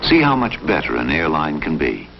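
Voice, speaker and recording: Super deep gravelly guy announcer reading an ad, muffled noisy old tv. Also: huge pitch swings, normal speed. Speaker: commercial announcer. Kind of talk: scripted advertising narration. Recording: muffled noisy 1960s TV audio.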